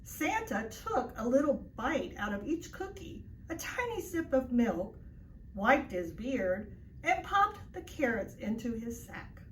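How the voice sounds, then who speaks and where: A woman's voice: a little high, sing-song, slow. A woman reading a children's story aloud in a narrating style. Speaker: storybook reader. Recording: echoey, distant mic, faint noise.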